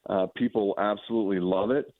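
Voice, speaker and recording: A man's voice: fairly low pitch, steady tone, a little fast, no room sound. An American man, a sports anchor as a radio guest, giving effusive conversational analysis over a phone-like line, often rephrasing as he goes.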